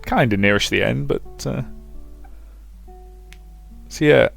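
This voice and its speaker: A man's voice: medium pitch, slow, gravelly, faint music behind. A man hosting a game playthrough, reading visual novel narration aloud and adding his own commentary. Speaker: let's player.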